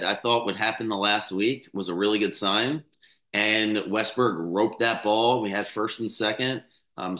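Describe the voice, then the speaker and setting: A man in his thirties with a slightly hoarse voice, hosting a casual sports betting talk with quick, rambling sentences, fillers and restarts, on a studio mic in a small room. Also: lowish voice, pushed and bright, reverberant. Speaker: sports betting podcast host. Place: small room with a bookshelf, indoors. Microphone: studio mic on a stand close in front.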